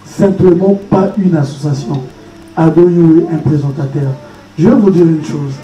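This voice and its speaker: A man speaks at a conference in an earnest, emphatic way, repeating his points. His voice is very dark and mellow and sits fairly high. It is picked up from far off in a room with a lot of echo. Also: moderate pace, soft background music. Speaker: conference speaker on orphan support. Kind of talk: impromptu public talk. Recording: roomy hall, voice from a distance.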